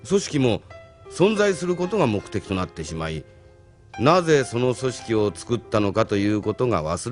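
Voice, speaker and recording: A confident, opinionated man , acted by a man, with a mid-pitched and very lively delivery. He argues assertively, like a political strategist in an audio drama, over faint music. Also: lightly acted. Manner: assertive, argumentative. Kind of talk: Japanese audio drama acting.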